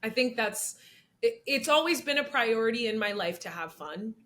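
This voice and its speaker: A young adult woman with a slightly raspy voice, speaking candidly in an interview, animated and laughing often as she acts out what people said. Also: moderate pace. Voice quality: slightly raspy, low-set voice. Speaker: actress and comedian, interview guest.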